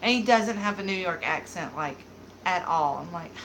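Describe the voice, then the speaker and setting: A woman's voice: on the low side, expressive, moderate pace, a bit bright, slight background noise, low-quality recording. A woman in her thirties with a light Southern accent, chatting casually and rambling with lots of fillers as she hosts a live beauty stream. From American South. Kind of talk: casual live-stream chat reading comments.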